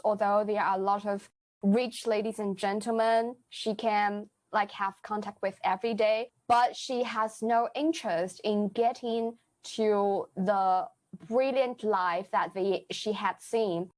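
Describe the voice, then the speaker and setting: A woman answering as a podcast guest, explaining deliberately and searching for words as she goes. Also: medium pitch. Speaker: podcast guest, amateur actor.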